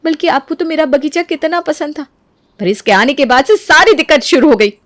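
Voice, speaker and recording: Vengeful elder sister-in-law , played by a woman, fairly high voice, dry sound, bitter and taunting, cartoon family drama. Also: animated, a little fast, no room sound. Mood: pleading, aggrieved. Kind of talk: animated family story dialogue.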